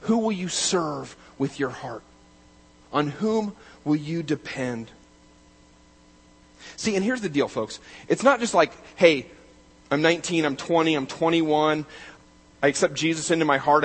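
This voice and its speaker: A man, as a pastor, preaching a sermon, building to loud, emphatic declarations and then dropping to quiet, measured reading. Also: fairly high pitch, animated, breathy edge, rough recording.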